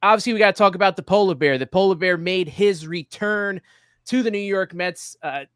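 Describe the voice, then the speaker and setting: Higher-voiced man hosting sports talk. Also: a little fast, fairly bright tone, clear, resonant tone, no echo, studio quality. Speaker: sports show host.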